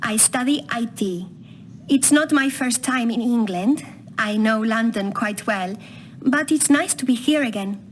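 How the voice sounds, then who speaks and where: A woman's voice: fairly high pitch, a little slow, a little hiss or hum. A woman playing a student introducing herself in a scripted audio dialogue.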